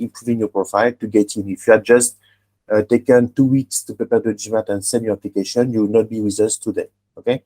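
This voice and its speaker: A man's voice: mid-range voice, dark tone. A man whose first language is German hosts an online webinar as an admissions coach. He talks emphatically and often checks in with "huh?" and "okay?". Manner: emphatic advisory tone, tag questions like "huh?" and "okay?". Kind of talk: online webinar talk with a student guest.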